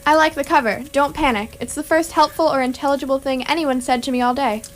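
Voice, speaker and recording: A woman's voice: high voice, fairly even intonation, fast talker, natural voice. A woman playing a bewildered, drily sarcastic human space traveler in an amateur radio comedy. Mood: bewildered, dubious. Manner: plaintive, dry, polite incredulity. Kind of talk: amateur radio play acting. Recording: clear background noise.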